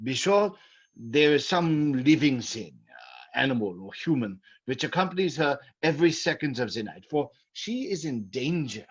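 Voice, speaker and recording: A man playing a grave, scholarly occult doctor in old-time radio style, speaking haltingly, deliberately and ominously on a dull old recording. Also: mid-range voice, very animated, slightly rough. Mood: ominous warning.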